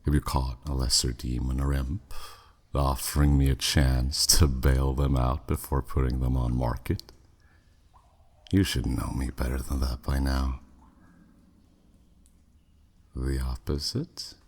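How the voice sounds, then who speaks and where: A man's voice: very deep voice, level tone, unhurried, a little mellow, breathy edge, full character voice. A man playing a haughty, flirty, skeptical demon buyer in a scripted ASMR audio roleplay, with a sardonic, dismissive drawl. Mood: wry, suspicious.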